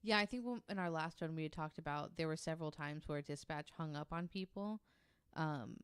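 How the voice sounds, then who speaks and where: A woman's voice: deep voice, brisk, clean tone, dry recording, clean studio sound. A woman co-hosting a podcast, chatting casually and reading out stories with her own asides. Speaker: podcast guest co-host.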